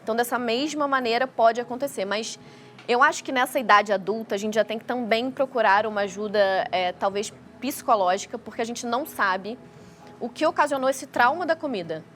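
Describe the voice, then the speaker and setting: A woman's voice: a little high, fairly quick, fairly bright tone. A woman with a Rio de Janeiro accent, speaking as a guest expert and explaining things on a talk show. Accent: Carioca (Rio de Janeiro) Brazilian Portuguese. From Rio de Janeiro, Brazil. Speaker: guest child feeding specialist.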